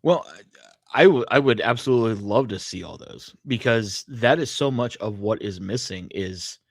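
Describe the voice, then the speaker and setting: A man's voice: fairly low pitch, normal speed, no echo, studio quality. A man co-hosting a podcast in a casual, conversational way. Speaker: podcast co-host. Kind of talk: casual chat.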